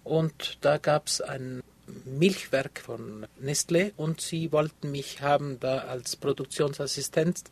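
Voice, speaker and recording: A man's voice: fairly high pitch, a little mellow. A man in his fifties from Ecuador, answering interview questions in a hesitant way with many filler sounds. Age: 50s. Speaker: guest, photographer.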